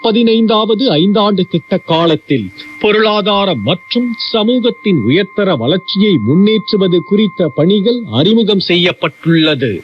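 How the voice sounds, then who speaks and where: High-pitched male newsreader on muffled shortwave. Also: lively intonation. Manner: formal, measured. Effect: shortwave radio broadcast. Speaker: radio news reader. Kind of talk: scripted news reading. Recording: dull, hissy shortwave radio with hum.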